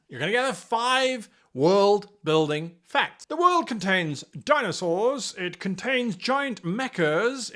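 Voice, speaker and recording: Animated, bright-voiced man presenting theatrically. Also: very high-pitched. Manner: theatrical, exaggerated emphasis. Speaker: host of a tabletop game-mastering show. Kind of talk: animated scripted explainer to camera.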